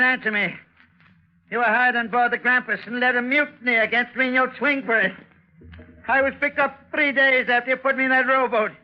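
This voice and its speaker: A man playing a crazed, vengeful old sea captain, ranting and repeating his demands in a 1940s radio drama. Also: very high-pitched. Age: older. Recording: dull, muffled 1940s radio recording.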